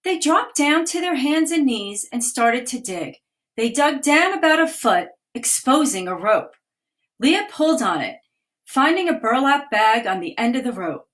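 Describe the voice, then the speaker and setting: A woman, a children's author, reading her picture book aloud in a livestream with clear, expressive delivery and chatty asides. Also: high-pitched, unhurried. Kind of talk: children's storytime read-aloud. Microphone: webcam or phone for a livestream.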